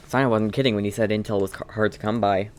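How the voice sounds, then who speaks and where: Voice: mid-range voice, steady tone, fast, slight background noise. A streamer giving casual, rambling, playful commentary during a game playthrough.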